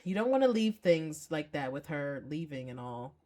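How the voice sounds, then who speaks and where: An elderly landlady from a visual novel, read by a woman, grandmotherly but stern. Her speech is measured and formal, in a slightly low voice. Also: big pitch swings, mellow.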